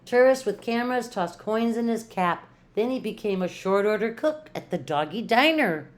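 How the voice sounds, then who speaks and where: An older woman, a retired teacher, reads a picture book aloud to children with playful, rhythmic emphasis and drawn-out words. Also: fairly high pitch, expressive.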